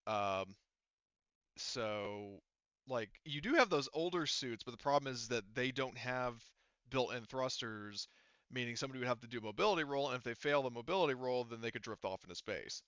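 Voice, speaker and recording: A man's voice: mid-range voice, normal speed, a bit bright, smooth, dry recording, muffled recording. A man acting as game master, casually explaining rules to players over an online call and swearing freely. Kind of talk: casual explaining during an online tabletop roleplaying session.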